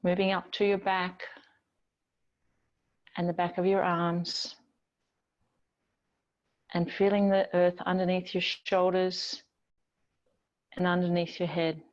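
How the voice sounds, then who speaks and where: A woman teaching yoga, guiding relaxation in a gentle, soothing, unhurried manner with long pauses between phrases. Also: on the low side, level tone, lo-fi sound. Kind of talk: guided yoga and relaxation instruction.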